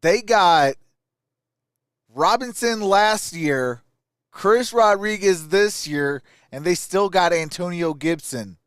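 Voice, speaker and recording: A man's voice: high voice, expressive, slow, a bit bright, clean tone, no echo, clean studio sound. A man hosting a live sports stream, giving casual, opinionated commentary and answering viewer comments.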